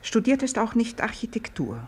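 A woman's voice: on the high side, big pitch swings, moderate pace, a little mellow, husky. An actress playing an anxious, motherly young married woman in a 1950s German-language radio play. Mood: gentle, firm. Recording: 1950s radio drama recording.

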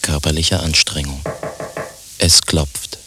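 A man acting as the detached narrator of a radio play, reading stage directions tersely and deadpan. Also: rumbling low, slow, soft background music, heavily acted. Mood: matter-of-fact.

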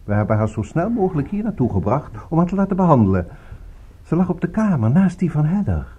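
A man's voice: fairly high pitch, big pitch swings, breathy edge, phone-quality sound. An actor playing a genteel, courteous but evasive male host in a radio drama, speaking politely and urbanely. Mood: regretful, evasive. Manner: polite, urbane, unhurried small talk.

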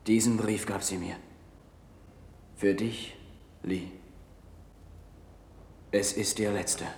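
An actor playing a grave bearer of sad news in a religious audio drama, speaking in a solemn, measured way. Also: male voice, lowish voice, fairly even intonation, a little mellow. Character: grave man bearing sad news of a doomed bride. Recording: echoey hall, faint noise, vintage vinyl record.

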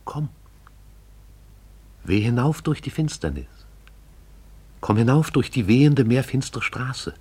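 A man narrating a radio play in a lyrical, measured way. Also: mid-range voice, lively intonation, warm tone, breathy edge. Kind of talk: poetic radio drama narration.

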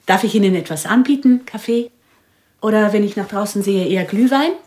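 An actress plays a cultivated, guarded woman receiving a visitor in a radio play. Her medium-pitched voice is composed and lightly ironic, sounding in an echoey room. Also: normal speed, darkish tone, lightly acted. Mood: polite, amused. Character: poised, guarded, cultivated hostess with a secret. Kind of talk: radio drama dialogue.

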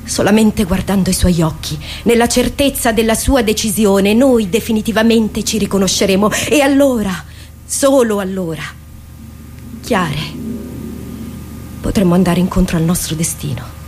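A woman's voice: medium pitch, normal speed, darkish tone, a little hiss or hum, lightly acted. An actress playing a devoted female officer, speaking in an earnest, oratorical way in a radio drama. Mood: impassioned, solemn.

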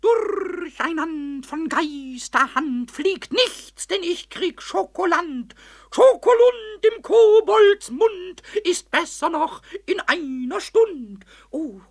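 Radio-play kobold: small, cheeky, childlike, squeaky and high, wildly lively intonation, excitable and boastful. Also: male voice, slow, heavily acted. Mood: singsong, pleased. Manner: excitable, stammering repetitions, rhyming.

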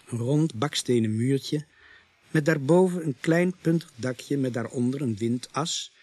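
A sombre police sergeant narrating a radio drama. He speaks slowly and gravely in a husky voice, recorded very dry and close. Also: mid-range voice, a little mellow, dry recording. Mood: grim, measured. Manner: grave, deliberate storytelling. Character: sombre male police sergeant recounting a grisly night. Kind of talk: scripted radio play narration.

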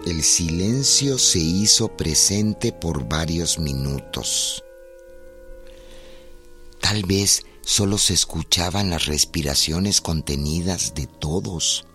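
A man's voice: deep voice, lively intonation, takes it slowly, fairly bright tone, soft background music, full character voice. A man narrating a revolutionary tale in a radio drama, solemn and scripted.

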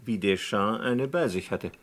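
An actor playing a polite, sharp-minded investigator in a German crime radio play, speaking formally and deliberately, full of questions. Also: male voice, lowish voice. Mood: probing, polite. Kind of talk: German crime radio drama acting, 1950s to 80s.

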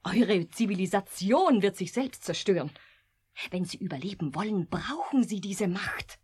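Very breathy, solemn artificial young woman. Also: mid-range voice, lively intonation, moderate pace, darkish tone. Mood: coldly logical. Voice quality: breathy, often near whisper. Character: young artificial woman, coldly logical yet anguished. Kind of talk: German radio drama acting.

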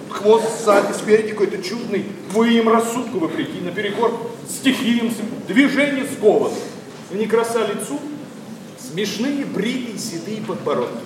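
A disillusioned young aristocrat railing at society in theatrical verse. His voice is high and declamatory, edged with sarcasm. It is heard at a distance in a reverberant hall, through strong noise. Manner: declamatory, sarcastic, mimics people he mocks. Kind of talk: theatrical verse monologue, radio play. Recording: noisy, echoing theatre hall from afar.

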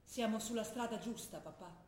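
A woman acting a young revolutionary who writes earnest letters to her father, speaking intensely and sometimes dropping to a hush, in a roomy stage recording. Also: medium pitch, normal speed, a little hiss or hum, natural voice. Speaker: stage actor. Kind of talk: theatre drama broadcast on radio.

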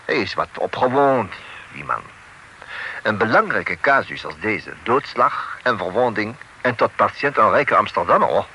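A man voicing a worldly official who talks with a French accent, dry and knowing, his lowish voice swinging widely and ringing bright. He chuckles and slips French phrases into everything he says. The old radio recording carries a faint hiss. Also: big pitch swings, moderate pace, full character voice, poor audio. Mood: dismissive. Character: worldly French-speaking male official, wry. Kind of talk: radio play acting.

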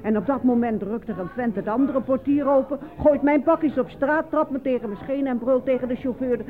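Een actrice die in een hoorspel een brutale, spottende, grofgebekte stadse vrouw speelt, theatraal van toon. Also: female voice, sits high, a little fast, natural voice. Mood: indignant storytelling. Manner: coarse, theatrical. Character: brash, mocking, foul-mouthed city woman. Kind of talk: radio play acting. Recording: noisy, phone-like old radio recording.

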